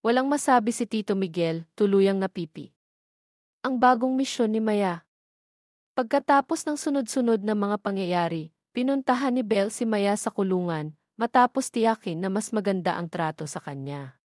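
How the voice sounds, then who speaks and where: Woman narrator doing a dramatic audio story, medium pitch, very dry sound. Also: lively intonation, normal speed, darkish tone, no echo.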